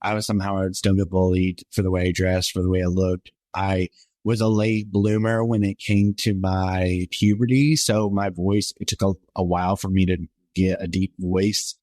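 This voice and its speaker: A man's voice: little pitch movement, moderate pace, a little mellow, no echo. A man in his twenties, a podcast guest, telling his personal story in a rambling, earnest way full of fillers. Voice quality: somewhat high voice for a man.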